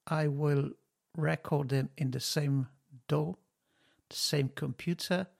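Slow, gravelly-voiced man on a podcast mic. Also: a little high, steady tone. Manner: slow, halting, many fillers, non-native phrasing. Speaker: podcast host testing microphones. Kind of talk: casual spoken talk. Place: home studio desk. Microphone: dynamic studio microphone, close.

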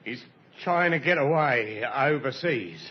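An actor playing a nervous small-time crook who stammers and makes excuses in an old radio drama. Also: male voice, medium pitch, expressive, unhurried, lightly acted. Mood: anxious, wary. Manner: agitated, stammering, self-excusing. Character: nervous male small-time crook informing on his accomplice. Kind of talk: 1950s radio drama acting. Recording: dull, lo-fi old radio recording.

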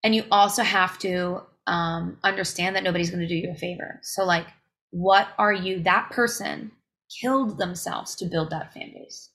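A woman's voice: on the low side, steady tone, normal speed, a bit bright. A woman singer as a podcast guest, talking in emphatic bursts full of fillers and restarts. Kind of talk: casual interview chat.

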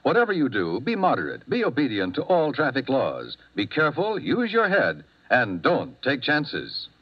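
A man's voice: mid-range voice, wildly swooping pitch, moderate pace. An American man reading scripted announcements as a 1950s radio announcer, emphatic and formal. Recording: muffled vintage radio broadcast, music behind.

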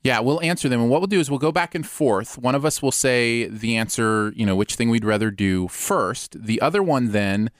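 A man's voice: medium pitch, expressive, normal speed, hardly any pauses, no echo, studio quality. A man co-hosting a live stream, joking around in casual chat. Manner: playful, jokey.

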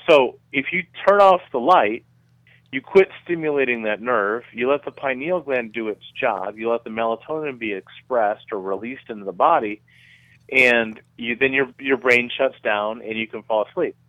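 A man's voice: medium pitch, no echo. Middle aged guy on a radio interview explaining stuff, bit dull lofi sound, dry, repeats words. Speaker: guest researcher being interviewed.

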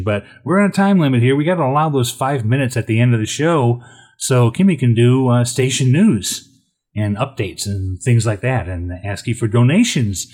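A male host with a raspy voice of middling pitch. He talks casually to listeners, halting now and then as he goes. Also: a little mellow. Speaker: radio show host. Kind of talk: casual unscripted radio hosting.

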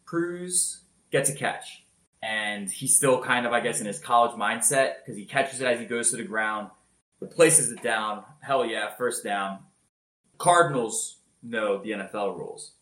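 A man telling football highlights in a casual podcast chat, energetic and loud-voiced. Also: a little slow, roomy sound, poor audio. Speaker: podcast co-host. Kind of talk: casual sports recap.

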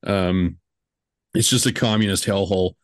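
A man's voice: low voice, fairly even intonation, normal speed, dry recording. A man in his twenties hosting a podcast, chatting casually and rambling with lots of fillers and jokes. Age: 20s. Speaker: podcast host.

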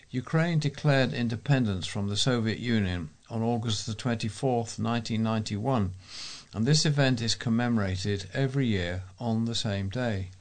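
Low-pitched British man reading news. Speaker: volunteer newsreader. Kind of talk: reading local news and letters aloud.

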